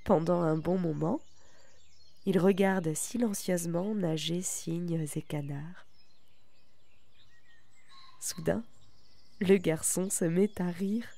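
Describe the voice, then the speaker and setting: A woman narrating a children's tale as a storyteller, in a calm and deliberate way. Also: fairly low pitch, creaky, no echo.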